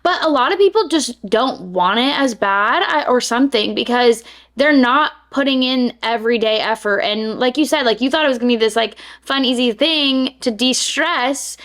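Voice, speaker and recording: A woman's voice: a little high, normal speed, fairly bright tone. A woman hosting a podcast interview, affirming her guest and asking open, curious questions. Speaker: podcast host. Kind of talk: conversational interview.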